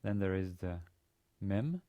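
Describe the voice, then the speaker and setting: A man in his forties, a native Danish speaker with a Danish accent, giving an instructional lecture in a halting, hesitant manner with repeated words. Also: low-pitched, expressive, mellow. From Denmark. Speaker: university language teacher. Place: indoor studio with plain grey backdrop.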